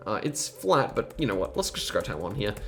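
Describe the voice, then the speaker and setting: A man's voice: lowish voice, big pitch swings, brisk, gravelly, fairly bright tone, soft background music. A man giving casual, thinking-aloud gameplay commentary, musing and rambling hesitantly as he goes. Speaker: host of geography quiz gaming videos.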